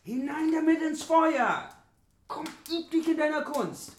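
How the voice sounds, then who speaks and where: A man's voice: very high voice, lively intonation, unhurried, a little hiss or hum. An actor playing a brash, fearless young hero in a fantasy radio play, bold and taunting in his declamation. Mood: eager. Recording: echoey, distant sound with music behind.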